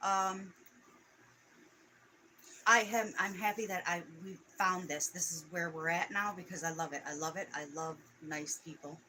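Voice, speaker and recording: Female co-host, 40s, lowish bright voice, chatty with quick interjections. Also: fairly even intonation, slight background noise, low-quality recording. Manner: chatty, interjects with short agreements. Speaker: live stream co-host. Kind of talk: casual live stream chat.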